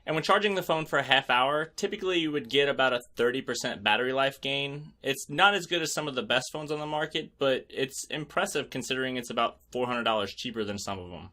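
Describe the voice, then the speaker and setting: A man's voice: mid-range voice, fast, hardly any pauses, sharp tone. A man hosting a scripted product review, narrating with wry, joking asides. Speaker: tech reviewer and host.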